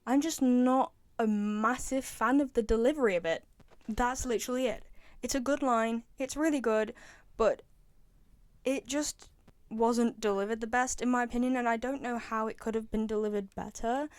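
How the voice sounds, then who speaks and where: Young female video creator, slightly high voice, informal and chatty, sharing opinions as a fan. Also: steady tone, normal speed. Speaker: video creator reviewing game voice lines. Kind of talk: casual fan commentary.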